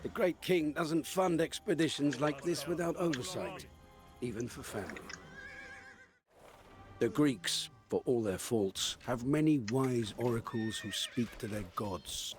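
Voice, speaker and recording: An actor playing a Persian military advisor in a video game, formal and measured as he gives counsel. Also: medium pitch, expressive, faint music behind, lightly acted. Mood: composed, advisory. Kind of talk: video game dramatic acting.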